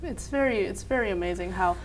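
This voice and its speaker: A woman's voice: mid-range voice, brisk, slight background noise, rough recording. A woman hosting a television talk show, asking a guest questions with open admiration. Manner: admiring, attentive interviewer. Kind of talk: interview questions.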